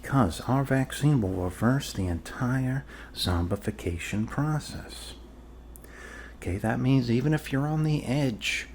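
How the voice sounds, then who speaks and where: A man doing an improvised ASMR role-play as a doctor, talking in a halting, deliberate, deadpan way to a patient. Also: fairly low pitch, slight background noise. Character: blunt, casually shady male family doctor reassuring a patient. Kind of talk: ASMR medical role-play, improvised personal-attention talk.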